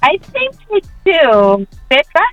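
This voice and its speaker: A woman calling into a morning radio show, cheerful and warm in casual chat. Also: high voice, huge pitch swings, slow, dry recording, highs cut off, soft background music. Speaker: radio caller.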